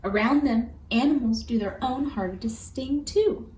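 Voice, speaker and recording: A woman reading a picture book aloud for children in an expressive, deliberate storytelling manner. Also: a little high. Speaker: storybook reader. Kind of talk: children's read-aloud narration. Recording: roomy echo with faint background noise.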